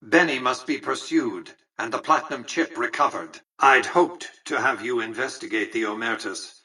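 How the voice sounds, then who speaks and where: An actor playing a cold, imperious old tycoon in a video game, speaking in formal, articulate, condescending phrases. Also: male voice, mid-range voice, moderate pace, pushed and bright, husky, recorded from a distance, rough recording. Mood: stern, impatient. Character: cold, imperious old male tycoon ruling a casino city. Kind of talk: scripted video game character dialogue.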